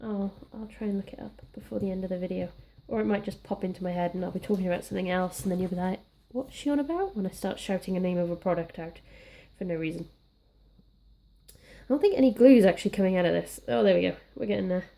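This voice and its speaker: A young Welsh woman, a little croaky from being sick, chatting casually and rambling while she works on a scrapbook page. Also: mid-range voice, fast talker, warm tone. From Wales. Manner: chatty, rambling, self-deprecating. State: slightly croaky from illness. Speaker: scrapbooking crafter. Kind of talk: casual crafting chat.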